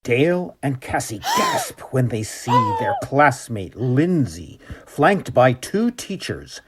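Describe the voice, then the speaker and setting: Slow, expressive male radio play narrator. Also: medium pitch, heavy background noise. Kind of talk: dramatic scripted narration.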